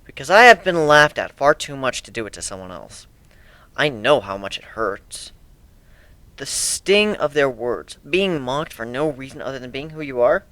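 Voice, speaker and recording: A man's voice: medium pitch, animated, normal speed, bright, forceful tone, no echo. A man voicing a wistful, kind male griffin in a dramatic reading, talking in a hesitant, reflective, conversational way. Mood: hurt, reflective. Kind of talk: dramatic reading of a fan story.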